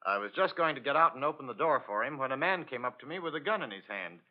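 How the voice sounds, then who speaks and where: A man's voice: medium pitch, animated, fast talker, close to an everyday voice. Man playing respectful chauffeur, muffled old radio. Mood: nervous recounting. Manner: deferential, matter-of-fact recounting. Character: respectful, plain-spoken working chauffeur. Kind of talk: 1940s radio drama acting.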